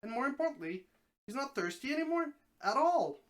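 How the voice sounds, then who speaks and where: A streamer reading a story aloud as a dramatic narration, expressive, now and then stumbling over a word. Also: sits high, fairly quick.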